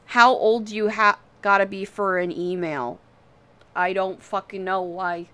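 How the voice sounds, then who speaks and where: A woman's voice: medium pitch, steady tone, unhurried, pushed and bright, clean tone. A woman livestreamer chatting casually while she draws, rambling and self-deprecating, with casual swearing. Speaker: livestream host.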